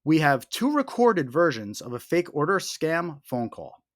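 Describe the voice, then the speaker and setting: Male explainer host, slightly high voice, lively intonation, emphatic and deliberate scripted delivery. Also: no echo, studio quality. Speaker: host of a scam awareness video.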